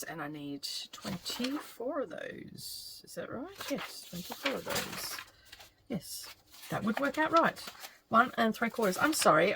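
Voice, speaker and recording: A middle-aged woman with a slightly croaky voice, chatting and thinking aloud as she works on a quilt for her craft channel. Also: mid-range voice, a bit bright, a little hiss or hum, rough recording. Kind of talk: casual craft vlog narration while working.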